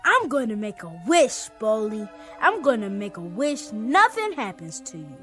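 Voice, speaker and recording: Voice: medium pitch, huge pitch swings, a little slow, fairly bright tone. A young performer playing an earnest, devoted little boy, eager and insistent, in a vintage television drama. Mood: earnest, pleading. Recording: archival TV soundtrack with music.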